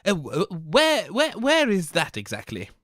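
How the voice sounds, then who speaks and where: A stammering, cheeky co-host bantering on a comedy radio show. The voice is bright and moves a lot in pitch, and it keeps stalling on "uh". The recording is dry and close. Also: huge pitch swings, unhurried, dry recording.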